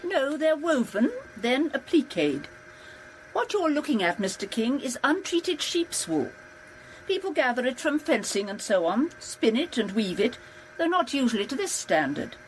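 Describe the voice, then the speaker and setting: An actress playing a brisk, teasing forensic scientist in a radio drama, matter-of-fact and expert. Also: female voice, high-pitched, sing-song, a little hiss or hum, poor audio. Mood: brisk, assured.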